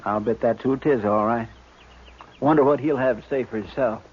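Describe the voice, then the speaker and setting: An actor playing a loyal, simple-hearted frontier deputy with an American accent, folksy and deferential, in a 1950s radio western. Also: male voice, mid-range voice, a little fast, natural voice. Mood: curious. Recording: muffled, noisy 1950s radio recording.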